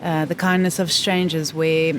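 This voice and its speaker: A woman from South Africa being interviewed, talking thoughtfully and pausing to find her words. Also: low-pitched, flat delivery. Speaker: interview guest. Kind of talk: reflective conversation. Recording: faint background noise.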